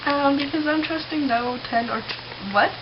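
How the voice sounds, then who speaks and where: A young woman chatting and telling stories casually as a vlogger, with an informal, halting, giggly manner. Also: high voice. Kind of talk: casual chat and rambling storytelling. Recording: muffled webcam-style audio with loud hiss.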